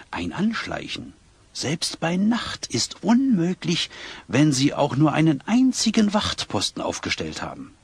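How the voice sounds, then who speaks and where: A man playing a cautious, husky-voiced scout giving advice in a German audio drama. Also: mid-range voice, dry recording, heavily acted.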